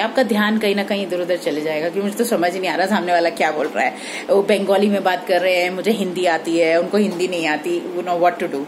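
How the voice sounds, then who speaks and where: A woman teacher talks straight into her phone in a room at home. She explains each point patiently and often stops to ask if the listener is following. A faint hiss sits under the voice. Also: mid-range voice. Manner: explanatory, mixes Hindi with English phrases, frequent "ठीक है?" checks. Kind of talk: recorded lecture. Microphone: phone held at arm's length.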